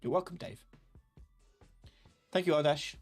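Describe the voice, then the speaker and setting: A man hosting a casual live software tutorial, thinking aloud and muttering or humming to himself as he works. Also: on the high side, steady tone, a little slow, soft background music. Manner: informal, muttering and humming while working. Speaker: host, software instructor.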